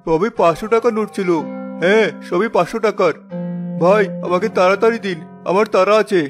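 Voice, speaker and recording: A man's voice: high-pitched, fairly even intonation, a little fast, over music, natural voice. A man voicing a pushy, greedy liar in a cartoon folk tale, insistent in his pleas and demands. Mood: pushy, hasty. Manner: insistent, pleading then threatening. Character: pushy, greedy man falsely claiming a lost money pouch. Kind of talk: animated folk-tale dialogue.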